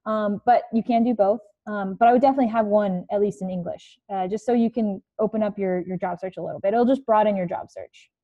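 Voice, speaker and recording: A woman's voice: medium pitch, fast talker, few pauses, narrow, tinny audio. A young woman coaching students on a video call from her living room, chatty with many fillers. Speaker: career coach. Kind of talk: casual online presentation. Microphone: earbud headset on video call.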